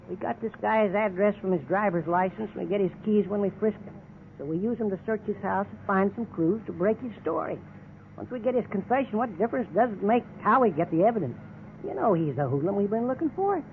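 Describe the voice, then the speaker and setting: A veteran police officer from a 1950s radio crime drama, cocksure and sneeringly sarcastic. His voice is high for a man and he pushes everyone around. It comes through a thin, tinny old broadcast with clear noise. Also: expressive, a little fast. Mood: scheming, insistent. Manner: sarcastic, domineering.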